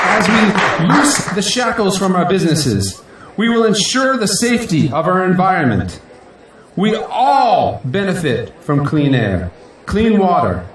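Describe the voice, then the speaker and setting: A man's voice: sits high, exaggerated voice. An actor playing a proud, idealistic male politician in an audio drama, giving a grandiose, earnest victory speech in declamatory rally style. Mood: earnest, hopeful. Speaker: newly elected city council president. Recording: echoey hall, distant mic, crowd noise. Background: crowd and faint noise.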